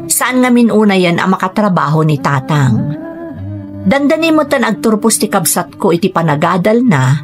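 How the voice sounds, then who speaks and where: A woman's voice: fairly low pitch, lightly acted. A woman playing a troubled young daughter working overseas, in a radio drama with a Filipino accent. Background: music behind the voice.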